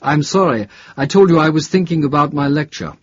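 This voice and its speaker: A man voicing an older, guarded male chemistry professor in an audiobook, with a halting, deliberate, emphatic delivery. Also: fairly high pitch, dull audio, slight background noise, pitched above the performer's own voice, close to an everyday voice. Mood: apologetic. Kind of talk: audiobook character dialogue.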